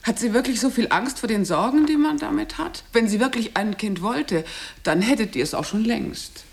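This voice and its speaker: Radio play: meddling married mother, smug, insistent and judgmental, with a rather high voice. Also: animated, normal speed, gravelly. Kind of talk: stage-like radio drama dialogue.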